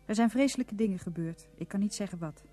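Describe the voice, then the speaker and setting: A woman's voice: medium pitch, fast, dark tone, faint music behind, lightly acted. An actress playing a wounded, resigned woman reading out a farewell letter in a radio drama. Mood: sad, resigned.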